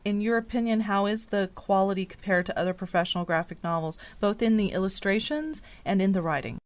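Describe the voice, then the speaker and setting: Medium-pitched woman hosting a talk. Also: fairly quick. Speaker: podcast host. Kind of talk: conversational interviewing.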